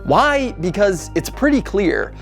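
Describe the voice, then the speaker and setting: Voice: mid-range voice, sing-song, takes it slowly, gravelly. A narrator reading a scripted video essay in a casual, conversational way. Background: background music.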